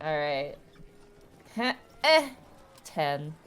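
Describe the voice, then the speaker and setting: Slow-paced woman playing a tabletop game. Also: sits low, very animated, fairly bright tone, smooth. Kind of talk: casual improvised roleplay chat.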